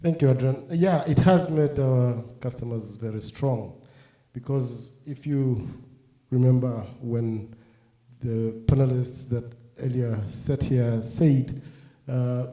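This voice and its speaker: Man, panelist, very dark mellow voice, steady, explanatory, echoey hall. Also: mid-range voice. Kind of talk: conference panel answer. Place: conference hall stage.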